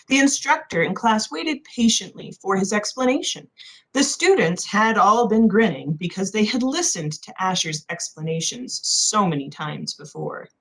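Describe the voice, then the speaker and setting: A woman reading a novel aloud as expressive scripted narration. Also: lowish voice, low-quality recording. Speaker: audiobook narrator.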